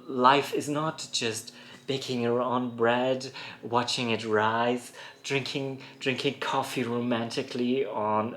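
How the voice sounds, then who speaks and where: A man, born in Poland, hosting a reflective solo podcast in a thoughtful, musing way with frequent soft laughs. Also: mid-range voice. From born in Poland, raised in Germany. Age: 30s. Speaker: podcast host. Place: rural home in France.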